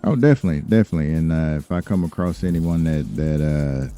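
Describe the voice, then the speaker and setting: A man plays a very deep-voiced lawyer who doubles as a crime boss in an online role-play game. His dark, mellow delivery is polite and businesslike, halting often on fillers as he makes arrangements. Music plays behind him. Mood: easygoing, obliging. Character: smooth-dealing male lawyer and crime boss, businesslike, obliging. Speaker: streamer role-playing in a game. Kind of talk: improvised in-character business talk.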